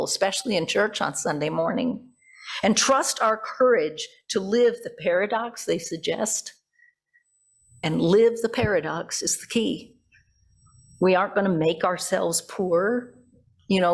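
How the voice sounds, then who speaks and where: A woman preaches with a husky, slightly rough voice at a medium pitch. She explains things reflectively, reading from notes and stepping aside to comment. Rhetorical questions are put to the listeners as part of the sermon. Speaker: pastor preaching. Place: church service.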